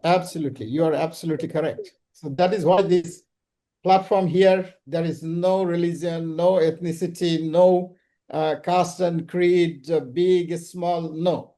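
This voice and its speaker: A man hosting an online business summit, talking casually and haltingly with many ums and uhs over a video call. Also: fairly high pitch, steady tone, mellow. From Bangladesh. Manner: hesitant, full of ums and uhs. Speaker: summit host.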